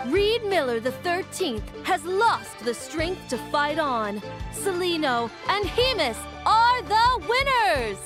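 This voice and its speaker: High, showy tournament announcer. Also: female voice, sing-song, takes it slowly, music in the background, full character voice. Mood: excited, showy. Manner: theatrical, projected. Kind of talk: English video game voice acting.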